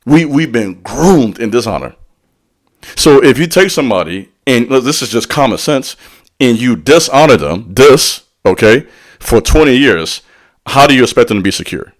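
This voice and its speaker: A man's voice: medium pitch, expressive, moderate pace. A man talking straight to his audience in a preacherly rhythm. His delivery is slangy and direct. He sprinkles in call-and-response asides as he teaches on spiritual matters. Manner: slangy and direct, addressing the listener. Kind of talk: motivational spiritual talk.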